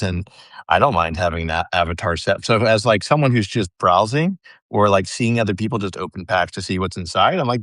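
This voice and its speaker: A man hosting a podcast, asking questions and chatting in a relaxed, wry way. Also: low-pitched, no room sound, studio quality. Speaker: podcast host. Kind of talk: casual interview chat.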